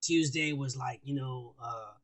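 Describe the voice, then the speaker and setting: A man co-hosting a podcast, rambling in crude, profane casual chat while high and drinking. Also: measured pace, a bit bright. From United States. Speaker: podcast co-host.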